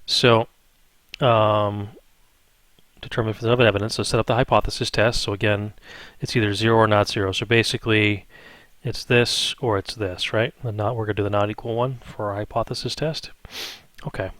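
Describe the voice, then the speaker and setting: Male math instructor, lowish voice, dry close sound, informal tutorial delivery, thinks out loud and frequently tags on check-in questions. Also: steady tone, fairly quick, dry recording. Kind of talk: improvised step-by-step spreadsheet tutorial.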